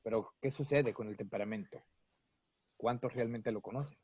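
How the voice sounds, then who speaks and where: A Mexican man, a psychologist, explaining his views at length in an interview, in a measured, lecturing way. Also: mid-range voice, a little mellow, breathy edge. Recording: dull, lo-fi, dry sound.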